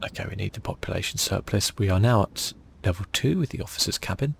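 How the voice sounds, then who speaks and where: A man hosting a relaxed ASMR video game playthrough, speaking softly and musing aloud as he goes. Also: fairly low pitch, fast talker, vocal fry, slight background noise. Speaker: gaming channel host.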